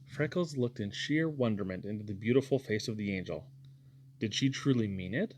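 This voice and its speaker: A man's voice: lowish voice, animated, normal speed, darkish tone, a little hiss or hum. A man reading a storybook aloud as scripted audiobook narration. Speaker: audiobook reader.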